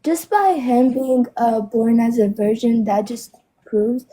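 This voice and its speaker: A woman's voice: on the high side, slow, dark tone, rough recording. A seventeen-year-old girl who is sick, joining a debate as a guest and answering hesitantly with many fillers. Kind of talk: casual debate conversation.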